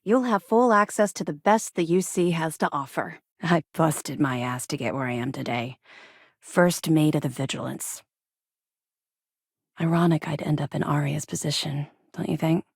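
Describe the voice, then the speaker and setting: A woman's voice: sits low, moderate pace, creaky, slightly rough, no echo, studio quality, heavily acted. A woman playing a tough, driven female military officer in a space game, speaking in a firm, businesslike manner. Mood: candid, reflective. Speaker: first mate and mission handler. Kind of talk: video game dialogue acting.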